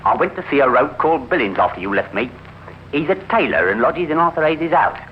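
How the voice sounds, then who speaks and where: Suspicious, animated, formal gentleman. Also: on the high side, fast talker. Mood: resolute. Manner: formal, probing, insistent. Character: suspicious, determined gentleman investigating a friend's disappearance. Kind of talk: 1950s radio crime drama acting. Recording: dull, noisy old radio recording.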